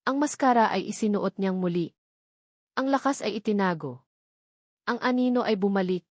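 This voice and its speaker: A woman's voice: medium pitch, animated, moderate pace, no room sound. Woman narrating dramatic fiction, dry sound. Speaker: story narrator. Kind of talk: scripted dramatic romance narration.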